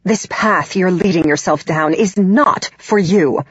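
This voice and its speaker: Woman playing a haughty, husky, controlling mother. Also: fairly low pitch, unhurried, no echo, lo-fi sound. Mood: scornful. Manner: haughty, disapproving, condescending. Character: condescending, controlling, image-conscious middle-aged mother.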